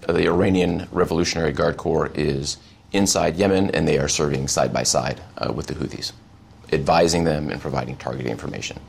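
Very deep, gravelly American military man. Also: brisk, slight background noise. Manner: firm, emphatic, official phrasing. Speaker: US military official. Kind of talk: formal broadcast interview answers.

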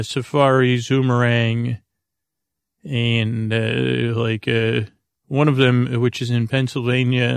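Male bedtime podcaster, very gravelly and soothing, wandering monologue with long hesitations, recorded dry and close. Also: medium pitch, no echo. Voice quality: creaky. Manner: meandering, halting, full of pauses; lulling, soothing. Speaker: podcast host.

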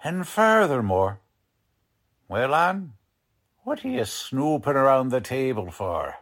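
A man performs a hard-boiled police lieutenant from a 1940s radio play. He is gruff and bullying, with streetwise slang. His delivery is slow and deliberate, with strongly varied intonation. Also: medium pitch, big pitch swings, fairly bright tone, exaggerated voice. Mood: brusque. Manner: slangy, ungrammatical tough-cop talk, accusing. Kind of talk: 1940s radio drama acting.

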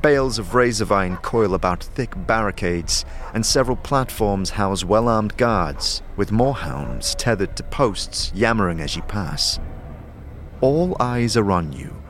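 A man's voice: fairly low pitch, a bit bright, slight background noise. A man narrating a scripted fantasy audio drama in an intense, theatrical way. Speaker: narrator and performer. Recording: clear music bed behind voice.

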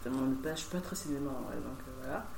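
A woman's voice: extremely low pitch, racing, creaky. A young woman hosting a podcast as a casual, chatty conversation between siblings, in informal, slangy speech. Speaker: podcast host. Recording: roomy, distant mic, noisy background.

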